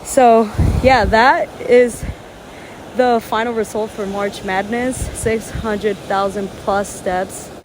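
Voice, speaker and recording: A woman's voice: mid-range voice, steady tone, slow, noisy background. A woman whose first language is Spanish, vlogging outdoors at a beach in an earnest, chatty way with many fillers. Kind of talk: casual talk to camera. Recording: outdoor recording with loud waves. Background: waves.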